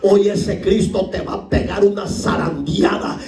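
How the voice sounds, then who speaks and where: A middle-aged man from El Salvador with a Salvadoran accent, preaching a sermon as a pastor and acting out the voices in his stories, rising to shouts. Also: sits high, steady tone, a little slow, creaky, recorded from a distance. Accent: Salvadoran Spanish. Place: church hall. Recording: echoey room, voice from off the mic.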